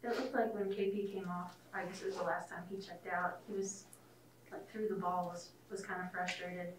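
A woman's voice: on the low side, flat delivery, moderate pace, far from the mic, background noise. A woman reporter asking long, rambling questions at a press conference. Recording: roomy, off-mic.

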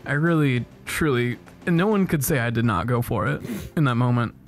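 A man playing a video game and riffing with a co-host, improvising silly gravelly character voices. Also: medium pitch, fairly quick, faint music behind. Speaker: game player. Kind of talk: comedic let's play banter.

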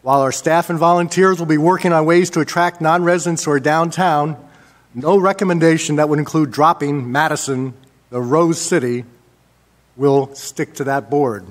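A man in his sixties with an American accent gives measured mayoral remarks at a public meeting, pausing often as he works from notes. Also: a little high, steady tone. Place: indoor council chamber. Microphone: gooseneck desk microphone.

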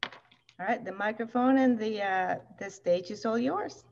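A woman's voice: medium pitch, a little slow, creaky, a little mellow, slight background noise. A woman in her thirties hosting an online event, giving an enthusiastic welcome and introducing the guests. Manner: bubbly, enthusiastic, repeats words for emphasis. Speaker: event host. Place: indoors, in front of a projection screen. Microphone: video call. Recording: slightly dull video call audio.

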